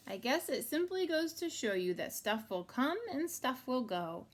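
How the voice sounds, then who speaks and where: A woman reading a picture book aloud to young children as a teacher, with sing-song, exaggerated expression. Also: high-pitched.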